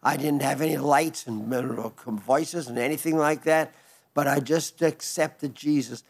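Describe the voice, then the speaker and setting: Ninety-six-year-old man preaching gently. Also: fairly high pitch, measured pace. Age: 90s. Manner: gentle, rambling with restarts. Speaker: radio preacher. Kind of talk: broadcast sermon reading listener letters.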